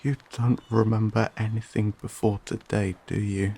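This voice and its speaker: A performer voicing a shaken, emotional fantasy companion in an audio roleplay, speaking haltingly with stammers and whispers. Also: mid-range voice, little pitch movement, creaky, mellow, slight background noise, natural voice. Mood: flustered, apologetic. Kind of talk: dramatic audio roleplay addressed to listener.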